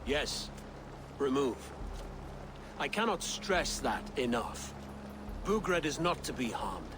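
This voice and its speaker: An actor playing an earnest, diplomatic Saxon nobleman in a video game cutscene, measured and pleading. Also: mid-range voice, very animated, background noise. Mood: earnest insistence. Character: earnest, diplomatic Saxon thane, would-be king.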